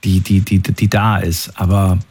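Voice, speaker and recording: Husky low-voiced radio host. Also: male voice, little pitch movement, darkish tone. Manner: measured, reasoned, with some hesitations. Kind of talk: casual radio call-in chat.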